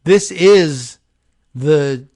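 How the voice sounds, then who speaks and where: A man's voice: fairly high pitch, lively intonation, drawn-out words, warm tone, dry recording, clean studio sound. A man hosting a political talk show, reading news passages aloud and breaking off into sardonic, rambling asides. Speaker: political podcast host.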